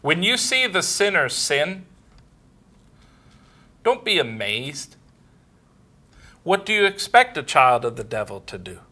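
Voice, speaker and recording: A man's voice: sing-song, takes it slowly, pauses often, bright, forceful tone. A man in his forties preaching a sermon fervently, building up as he speaks. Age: 40s. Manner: fervent, building in pitch and volume.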